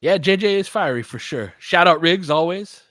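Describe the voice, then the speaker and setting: A man doing live basketball play-by-play and analysis as a host, informal and openly partisan, excitable on big plays. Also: sits high, moderate pace, dry recording, clean studio sound.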